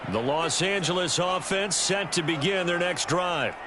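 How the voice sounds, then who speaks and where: A man giving measured, scripted play-by-play commentary for a football video game. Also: high-pitched, background noise.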